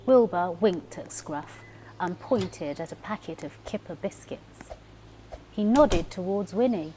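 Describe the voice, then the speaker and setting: A woman's voice: fairly low pitch, animated, measured pace, dark tone, a little hiss or hum, rough recording. A woman professional storyteller narrating a children's story expressively, leaning into the sound words. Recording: music behind the voice. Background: music.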